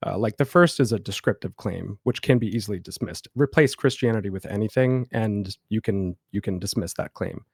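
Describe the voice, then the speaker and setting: Low-voiced man giving a hedged critique. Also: brisk, creaky, hardly any pauses, darkish tone, husky, no echo, clean studio sound. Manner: analytical, hedging, many fillers. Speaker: caller. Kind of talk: casual call-in critique.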